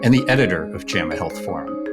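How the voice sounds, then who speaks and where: A man's voice: deep voice, animated, fast talker, over loud music. A man hosting a podcast, introducing it and putting questions as a journal editor.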